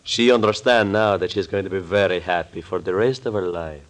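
An actor playing an excitable, devoted Latin lover in an old radio thriller, with a Spanish accent and effusive broken English. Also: male voice, sits low, a little fast, natural voice. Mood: tender, soothing.

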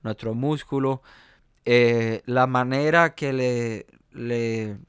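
Male jazz pianist, Cuban accent, resonant voice, halting conversational delivery. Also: medium pitch, fairly even intonation, clear, resonant tone, no echo, studio quality. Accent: Cuban Spanish. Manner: hesitant, many fillers and restarts. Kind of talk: conversational interview.

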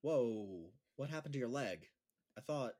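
A man's voice: mid-range voice, animated, measured pace, dark tone. A man playing an awkward, self-deprecating schoolteacher in a naturalistic audio drama, with a hesitant manner. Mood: concerned. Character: awkward, self-deprecating male schoolteacher trying stand-up comedy.

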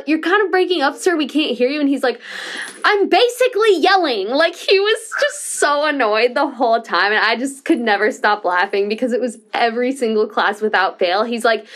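Bubbly young woman telling stories. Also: a little high. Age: young adult. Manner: lively storyteller, mimics others with loud bursts. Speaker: host. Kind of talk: casual team chat.

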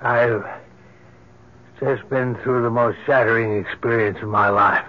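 Actor as a slow-spoken, earnest radio drama minister. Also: male voice, mid-range voice, steady tone, natural voice. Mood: shaken. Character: earnest, shaken minister, skeptical turning fearful. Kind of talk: old-time radio drama acting. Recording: dull, hissy old radio recording.

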